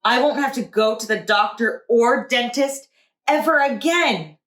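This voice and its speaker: A woman's voice: fairly high pitch, measured pace, fairly bright tone. A woman voicing an eager, curious, literal-minded little girl in a storybook, full of questions and exclamations. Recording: roomy echo.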